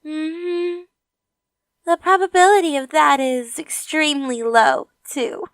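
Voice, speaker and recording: Actress as affectionate young tease in an audio drama, extremely high voice, unhurried languid delivery. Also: female voice, fairly even intonation, fairly bright tone, dry recording, clean studio sound, full character voice. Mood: teasing. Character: playful, teasing, affectionate young female companion.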